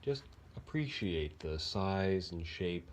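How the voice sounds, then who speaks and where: A man with a deep-pitched voice leads a guided body meditation. He speaks very slowly and gently, searching for words and leaving long pauses. A faint noise sits behind his voice. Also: lively intonation.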